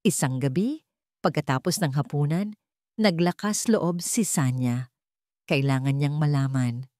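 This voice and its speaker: A woman's voice: low voice, measured pace, a little mellow, dry recording, studio quality. A woman storyteller giving a dramatic, scripted narration of a love story.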